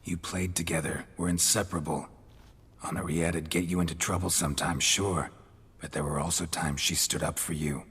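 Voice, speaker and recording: A man playing a stoic, world-weary monster hunter in a video game, with a raspy voice and a terse, deadpan delivery. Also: deep voice, normal speed, in a large hall, full character voice. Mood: earnest persuasion. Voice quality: gravelly, raspy. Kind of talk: video game cutscene acting.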